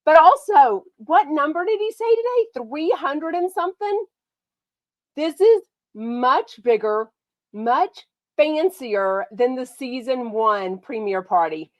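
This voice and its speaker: A woman from Texas, hosting a casual gossip livestream. She has a slight cold that makes her sound nasal, and she talks in a bubbly, excitable way. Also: high voice, takes it slowly, dry recording. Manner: bubbly and excitable, lots of "like" and "oh my gosh". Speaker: podcast host.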